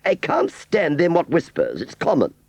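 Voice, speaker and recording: A man reading a storybook and voicing a haughty, bossy female doll in a high, affected, imperious falsetto. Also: huge pitch swings, moderate pace, no echo, full character voice.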